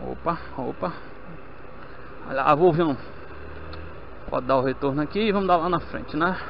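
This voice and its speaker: A man's voice: a little high, moderate pace, creaky. Young male motovlogger, talks casually to viewers as he rides, dull helmet-mic sound with faint road noise. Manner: chatty, addresses viewers as family. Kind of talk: casual chat while riding. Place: on a motorcycle in city traffic, outdoors.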